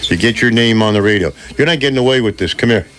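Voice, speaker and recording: A man's voice: medium pitch, fast talker, fairly bright tone. A male radio host in a small studio, upbeat and joking, coaxing kids to speak on air. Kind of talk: lively on-air chat with kids.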